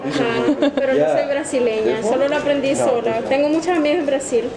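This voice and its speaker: A woman from Honduras answering an interview in a lively, chatty way. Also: high voice, fast talker, over a noisy crowd.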